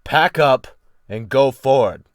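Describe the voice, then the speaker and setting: A man's voice: mid-range voice, animated, takes it slowly. A man voicing a brutal, commanding ship's captain who snaps orders with mocking menace in a dramatic audiobook. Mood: imperious. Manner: snapping, barking orders, thundering. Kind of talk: dramatic audiobook character reading.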